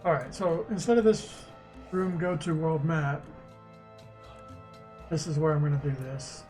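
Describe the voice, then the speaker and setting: A man streaming as a game developer, casually thinking aloud and muttering haltingly to himself as he works through code. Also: fairly high pitch, a little mellow. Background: music, keyboard typing.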